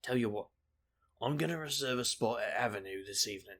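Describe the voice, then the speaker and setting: Guy acting husky gravelly detective type, glib reckless charmer, deadpan jokes, fantasy audio drama. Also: mid-range voice, a little fast. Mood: glib, cocky. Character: brash, wisecracking male urban-fantasy investigator, reckless charmer.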